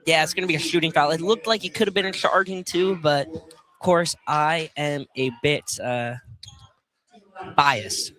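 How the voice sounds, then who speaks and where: A man's voice: on the high side, measured pace, bright, forceful tone. A man giving casual color commentary during a live basketball game. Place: college basketball field house.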